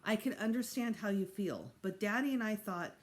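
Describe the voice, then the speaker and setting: A woman's voice: medium pitch, animated, moderate pace, close to an everyday voice. Woman as a patient storybook mom, lilting. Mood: placating. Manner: placating, coaxing. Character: patient, reassuring mother of a preteen boy.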